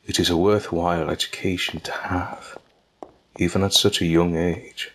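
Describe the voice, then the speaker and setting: Man acting deep-voiced older king, slow, formal, fatherly, audio drama, faint background noise. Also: level tone, natural voice, poor audio. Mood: patient, explaining. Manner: deliberate, formal diction. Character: fatherly, measured older king, patient and gentle.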